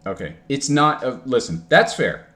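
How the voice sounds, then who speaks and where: A man's voice: mid-range voice, sing-song, moderate pace, fairly bright tone. A young man from the United States arguing heatedly in an online debate, swearing often and cutting in on the other speaker. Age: young adult. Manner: profane, combative, interrupting.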